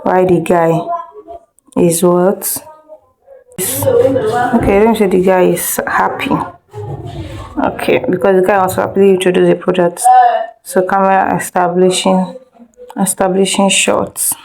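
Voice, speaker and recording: A woman's voice: mid-range voice, fairly even intonation, measured pace, creaky, pauses often, darkish tone, poor audio. A woman teaching an informal step-by-step screen tutorial, instructing directly, repeating herself and checking that learners follow. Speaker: video editing instructor.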